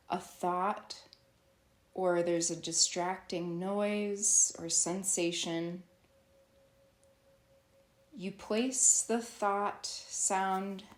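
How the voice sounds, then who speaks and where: A woman leading a guided yoga nidra meditation as a teacher, soothing and instructional, with long pauses. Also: fairly low pitch, roomy sound.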